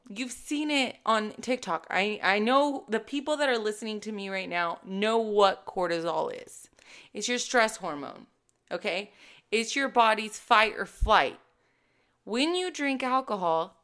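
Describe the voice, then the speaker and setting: Animated woman in her thirties. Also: a little high. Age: 30s. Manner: animated, emphatic, speaks directly to listeners. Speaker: fitness coach and podcast host. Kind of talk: casual solo podcast talk.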